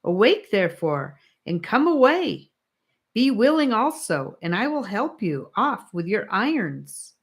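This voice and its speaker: A woman's voice: medium pitch, big pitch swings, measured pace, breathy edge, pitched above the performer's own voice, exaggerated voice. A woman voicing an earnest, pious male pilgrim in an audiobook, speaking solemn, exclamatory archaic English. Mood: urgent warning.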